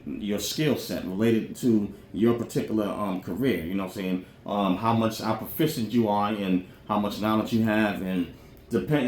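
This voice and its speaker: A man's voice: on the low side, darkish tone. A man talking off the cuff as a podcast host, explaining things in a rambling, colloquial way with frequent check-in phrases. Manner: rambling, colloquial, frequently says "you know what I'm saying". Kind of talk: informal off-the-cuff monologue explaining military careers. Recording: roomy echo, faint background noise.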